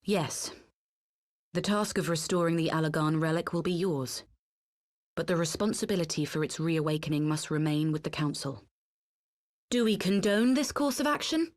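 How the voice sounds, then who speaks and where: Casting: actress for dignified female ruler in fantasy game; deep voice, formal delivery, dry sound. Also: no echo, natural voice. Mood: grave. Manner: formal, measured. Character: stately female council leader, grave and formal. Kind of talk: video game cutscene acting.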